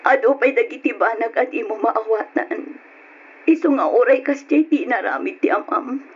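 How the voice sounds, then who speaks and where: A woman's voice: fairly high pitch, normal speed, breathy edge. A woman playing a wronged, protective mother in old radio drama style, pleading and emotional, on a muffled recording. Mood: sorrowful, gentle.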